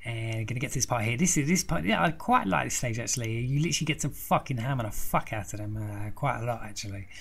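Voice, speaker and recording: Young man, hoarse from a sore throat, swearing his way through a casual game guide at an ordinary pitch. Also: animated, quick pace, few pauses. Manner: swears constantly, rambling and chatty. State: croaky from a throat infection. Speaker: gaming video host. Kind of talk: casual profane game walkthrough commentary.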